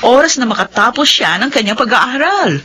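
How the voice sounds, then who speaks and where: An actress as an insistent, caring woman boss speaks in a highish voice with lively swings. She is assertive, in radio drama style, with faint noise behind her. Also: normal speed. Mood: defiant, assured. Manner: assertive, mixes English into her talk. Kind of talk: Filipino radio drama acting.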